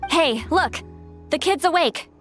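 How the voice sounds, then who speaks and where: An actress playing an eager, excitable young woman in a video game, calling out in exclamations. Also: high-pitched, a little slow, soft background music. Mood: excited.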